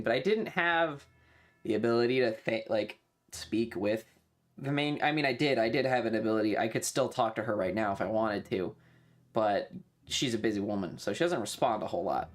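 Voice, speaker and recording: A man chatting casually on a livestream, rambling and halting, swearing freely as he talks. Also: mid-range voice, expressive. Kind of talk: casual rambling stream chat.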